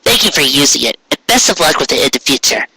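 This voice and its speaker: A man voicing a polite, motherly female pony in a story, with a gentle and hesitant manner. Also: on the high side, very animated, fast talker, husky, dry recording, exaggerated voice.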